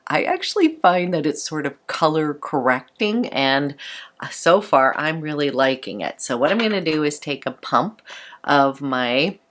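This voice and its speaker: A woman beauty vlogger chatting brightly through a makeup tutorial, a little congested from a cold. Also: deep voice, expressive, measured pace. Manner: bubbly and chatty, fond of "a little".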